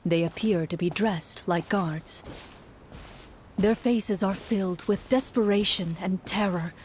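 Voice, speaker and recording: Woman narrating a game story, mid-pitched. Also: a little slow, a little mellow, a little hiss or hum. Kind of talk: second-person scripted storytelling narration.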